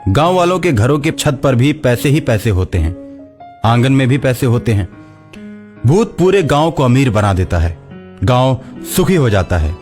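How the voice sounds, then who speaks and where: A man tells a scripted moral tale as its narrator. His mid-pitched voice moves up and down in a very lively way. Faint music runs behind the narration. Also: normal speed. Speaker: storyteller.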